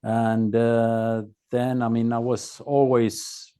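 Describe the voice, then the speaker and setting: A man from Norway with a Norwegian accent, a podcast guest telling his own story casually in a halting, laconic way. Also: mid-range voice, little pitch movement, warm tone, smooth.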